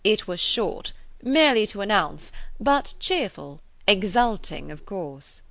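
A woman reader acts a sensible gentleman from a classic novel. He sounds composed and wry, speaking in measured, matter-of-fact phrases with expressive pitch and a touch of creak. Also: no room sound, exaggerated voice. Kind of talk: audiobook character voice.

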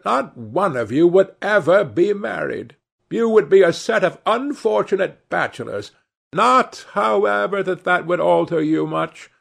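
A man's voice: high-pitched, expressive, unhurried, vocal fry, fairly bright tone, breathy edge, pitched above the performer's own voice, full character voice. A man voicing a chatty older society hostess in a storybook reading, theatrical and exclamatory. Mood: chiding.

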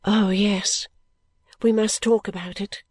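A woman voicing a trusting, loving young woman in an audiobook, with a gentle, confiding and eager manner. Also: medium pitch, fairly even intonation, slow, slightly rough, dry recording. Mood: agreeable. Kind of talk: audiobook character dialogue.

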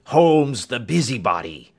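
A man's voice: mid-range voice, sing-song, takes it slowly, fairly bright tone, heavily acted. A man voicing a furious, menacing old doctor in an audiobook, snarling and blustering his threats. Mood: scornful rage. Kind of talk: audiobook character acting.

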